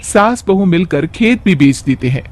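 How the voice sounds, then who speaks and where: A man's voice: sits high, animated, moderate pace. A man narrating a scripted moral bedtime story as a storyteller.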